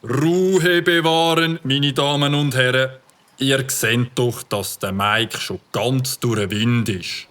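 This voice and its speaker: Brief: man doing a slow, stern Swiss German village cop, official manner. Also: medium pitch, background noise, lightly acted. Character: stern male village police officer, middle-aged. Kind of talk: audio drama acting.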